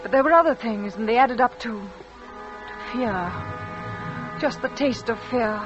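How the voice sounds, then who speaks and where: Woman playing nervous young wife, highish voice, hesitant questions, old radio drama, muffled w music behind. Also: measured pace, natural voice. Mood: haunted, confiding. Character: anxious, devoted young wife haunted by fear. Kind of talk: 1940s radio drama acting. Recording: dull old radio recording.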